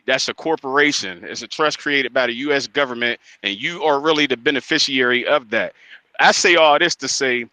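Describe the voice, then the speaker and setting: A man's voice: mid-range voice, bright, forceful tone, dry recording, poor audio. A man calling in to a radio show who rambles with many restarts and swears casually. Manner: rambling, profane. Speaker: caller. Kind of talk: call-in radio comment.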